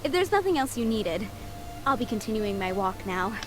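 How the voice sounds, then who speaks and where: A woman's voice: medium pitch, very animated, moderate pace, close to an everyday voice. An actress playing a friendly, poised young townswoman in a video game, chatting in a polite, breezy, helpful way.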